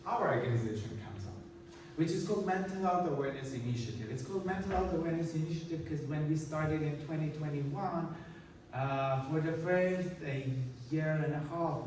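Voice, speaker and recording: A man's voice: a little high, darkish tone, a little hiss or hum. A man from Armenia, giving an earnest, explanatory conference talk with slides and many restarts. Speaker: conference speaker. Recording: echoey hall, distant mic.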